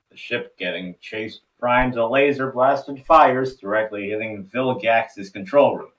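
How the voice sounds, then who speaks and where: A man's voice: fairly low pitch, fairly bright tone, far from the mic, poor audio. A man narrating stage directions for a cartoon table read in a scripted storytelling style.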